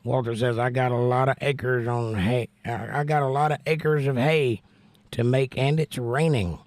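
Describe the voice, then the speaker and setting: An American man hosting a casual livestream and reading out viewer comments, hoarse from laryngitis, folksy and joking with preachy asides. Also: mid-range voice, steady tone, normal speed, no echo. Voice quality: hoarse, strained. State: laryngitis, losing his voice. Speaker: livestream host.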